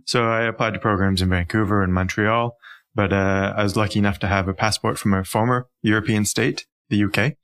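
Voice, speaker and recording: Fast-talking Canadian man, low voice. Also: fairly even intonation, a bit bright, clean tone. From Canada, Ontario. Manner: quick, eager, with small fillers and restarts. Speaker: guest, urban planner. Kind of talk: conversational interview.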